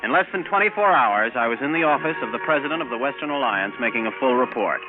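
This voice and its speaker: A man's voice: mid-range voice, expressive, quick pace, phone-quality sound, close to an everyday voice. An actor playing a plucky, wry male space captain who narrates his adventure in 1950s radio drama style. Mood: narrating. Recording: band-limited vintage radio recording with music.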